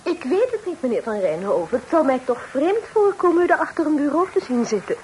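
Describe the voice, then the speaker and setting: An actress playing a pert, teasing young sister in a period radio drama, playful and mocking. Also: female voice, high voice, very animated, fairly quick, a little mellow. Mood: sceptical, teasing. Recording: faintly noisy old radio play recording.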